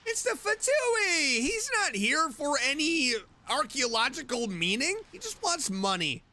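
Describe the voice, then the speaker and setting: A woman's voice: high-pitched, big pitch swings, a little slow, sharp tone. A woman game streamer giving casual, playful, exclamatory commentary as she plays. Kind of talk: casual, reactive gameplay commentary. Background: game music.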